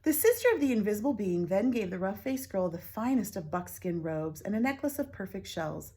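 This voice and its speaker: A woman teacher reading a picture book aloud to children, expressive, pausing for soft whispered asides. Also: medium pitch, breathy edge.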